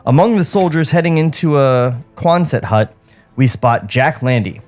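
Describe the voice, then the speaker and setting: A man's voice: mid-range voice, animated, normal speed, a little mellow, faint music behind. A man co-hosting a podcast, reading script directions aloud with sarcastic asides.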